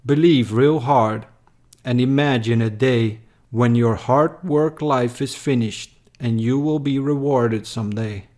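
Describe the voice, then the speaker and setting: Very slow, solemn male narrator. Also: mid-range voice, darkish tone. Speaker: narrator, poem reader. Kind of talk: scripted dramatic poetry narration.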